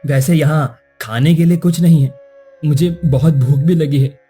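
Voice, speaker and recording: Man playing a mellow, husky young prince. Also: fairly high pitch, steady tone, normal speed, faint music behind. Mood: reassuring. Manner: formal, courteous, reassuring. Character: kind, earnest, protective young prince. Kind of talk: Hindi animated moral-story acting.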